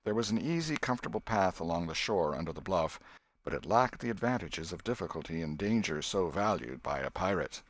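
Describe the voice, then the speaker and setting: Male audiobook narrator, deep register, measured and expressive, close dry sound. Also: no room sound. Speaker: audiobook reader. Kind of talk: scripted narration of a classic novel.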